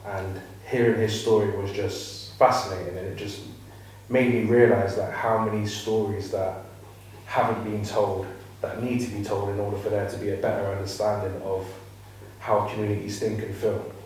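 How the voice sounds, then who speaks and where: Low, flat-voiced man in an echoey room. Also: normal speed. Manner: reflective, rambling, many fillers. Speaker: panellist, filmmaker. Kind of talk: panel discussion talk. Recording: echoey room, mic far off, faint noise.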